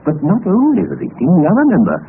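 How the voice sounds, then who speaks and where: An actor playing an infirm, cowardly, jaded gentleman who savours fear, with an urbane, relishing delivery in 1950s radio drama style. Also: high voice, wildly swooping pitch, racing, creaky, exaggerated voice. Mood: macabre relish. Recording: tinny, band-limited 1950s radio recording, faint noise.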